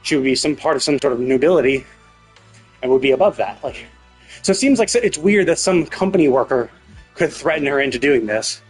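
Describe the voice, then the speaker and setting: A man streaming a game, casually rambling and thinking out loud as he commentates. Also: medium pitch, expressive, brisk, slightly rough, faint music behind, poor audio. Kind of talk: casual live stream commentary, speculating aloud.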